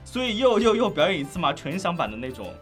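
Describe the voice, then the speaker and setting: High-pitched, lively young-sounding man chatting. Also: quick pace, soft background music. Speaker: voice actor on a cast Q&A panel. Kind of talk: casual group chat.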